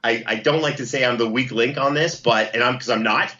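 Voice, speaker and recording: Man, podcast guest, bright forceful voice, enthusiastic, effusive, casual interview chat, slightly muffled lo-fi recording. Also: medium pitch, a little fast.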